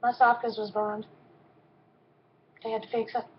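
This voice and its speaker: A woman in her fifties with a soft voice, giving a personal testimony in a halting way with frequent pauses and ums. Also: fairly high pitch, little pitch movement. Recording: dull, lo-fi recording.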